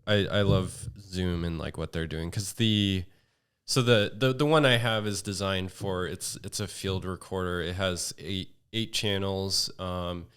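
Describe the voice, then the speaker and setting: Low, smooth-voiced monotone man. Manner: halting, many fillers and restarts. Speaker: podcast guest. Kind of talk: casual chat.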